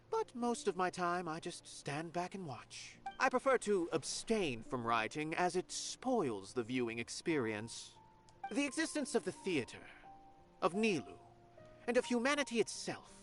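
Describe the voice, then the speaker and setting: A lofty, musing theater observer. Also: male voice, sits high, fairly bright tone, full character voice. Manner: lofty and condescending. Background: game music.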